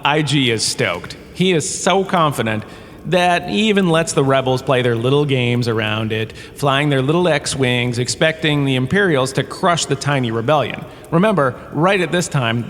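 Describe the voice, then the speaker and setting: A man's voice: mid-range voice, a bit bright, close to an everyday voice. A man playing a glib, self-amused washed-up drama star giving a wry, conversational comedic lecture with theatrical emphasis. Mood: excited, wry. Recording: echoey cave-like reverb, ambient noise behind.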